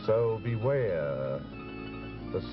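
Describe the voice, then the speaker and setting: An actor playing an ominous horror show announcer with a dramatic, foreboding delivery in the style of 1940s radio. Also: male voice, fairly low pitch, big pitch swings, very slow, full character voice. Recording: muffled old radio recording. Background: music.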